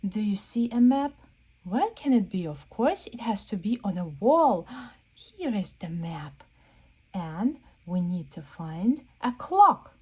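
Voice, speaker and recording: A woman's voice: mid-range voice, darkish tone. A woman reading a picture book aloud to young children, asking simple, repeated questions and prompting them to look. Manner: playful question-and-answer, prompting listeners to look; simple, repetitive phrasing aimed at small children. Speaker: children's read-aloud host.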